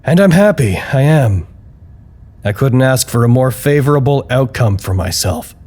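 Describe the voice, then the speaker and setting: An actor playing an anxious, earnest office worker who records wry, reflective, halting confessional reports in an audio drama. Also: male voice, mid-range voice, animated, darkish tone, natural voice. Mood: proud, uneasy. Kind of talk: audio drama monologue, intimate log narration.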